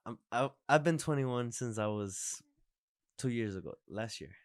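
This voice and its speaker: A man's voice: medium pitch, a little slow, smooth, no echo, studio quality. A man in his twenties hosting a podcast, chatting casually, rambling and restarting his sentences. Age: 20s. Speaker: podcast host. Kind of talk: casual chat.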